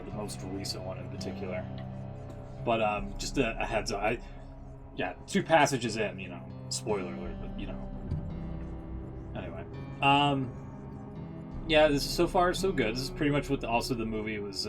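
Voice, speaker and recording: A man giving casual, hesitant, off-the-cuff commentary as a host reacting to readings. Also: fairly low pitch. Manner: hesitant, rambling. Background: guitar music.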